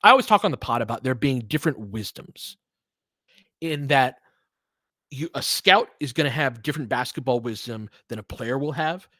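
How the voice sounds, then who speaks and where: Man, sports podcast host, animated intonation, emphatic, conversational, opinionated basketball commentary, dry close sound. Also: medium pitch, moderate pace, no room sound. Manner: emphatic, conversational, checks in with rhetorical questions.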